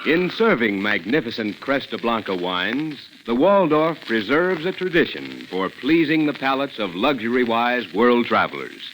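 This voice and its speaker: A man's voice: medium pitch, fairly bright tone, slight background noise, rough recording. A man announcing a 1940s radio sponsor message in a polished, grandiose style. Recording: 1940s radio broadcast recording. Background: music.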